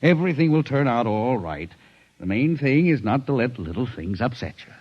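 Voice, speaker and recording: A man's voice: medium pitch, big pitch swings, moderate pace, breathy edge. A man playing an irritable, dismissive American office boss in a 1950s radio drama, brusque and blustery. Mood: skeptical, condescending. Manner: blustery, brusque, sputtering, condescending. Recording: muffled 1950s radio recording.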